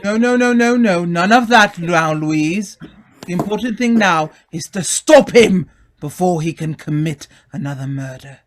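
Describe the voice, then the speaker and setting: An earnest gentleman sleuth played by a male actor, speaking deliberately and slowly. The delivery is theatrical and exclamatory, in the style of old radio drama. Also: sits high, expressive, slight background noise. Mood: consoling. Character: earnest, take-charge British gentleman amateur sleuth.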